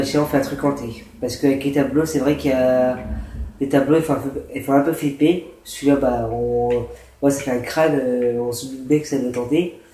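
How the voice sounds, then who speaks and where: Male gaming host with a cold, rambling and hesitant, mellow tone, roomy echoey recording. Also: medium pitch. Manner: rambling, hesitant explaining with many fillers. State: stuffy with a cold and sore throat. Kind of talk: casual gameplay commentary and building tutorial. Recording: roomy echo with faint noise.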